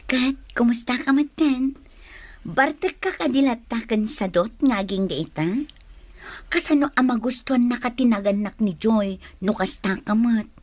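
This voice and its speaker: A woman's voice: fairly high pitch, unhurried, no room sound. An actress with an Ilocano Filipino accent playing a teasing, frank, nosy woman who gives advice in a radio drama.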